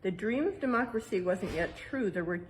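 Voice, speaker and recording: A woman reading a picture book aloud in a museum exhibit like an educator, pausing for casual explanations and checking in with little tag questions. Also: mid-range voice, animated, a little hiss or hum. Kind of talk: read-aloud storytime with casual explanatory asides.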